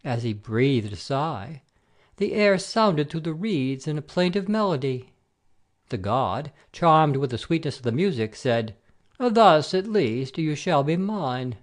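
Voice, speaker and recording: A man playing a wily messenger god posing as a simple shepherd, with an expressive storyteller's delivery in an amateur audio play. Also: a little high, measured pace. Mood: lyrical storytelling.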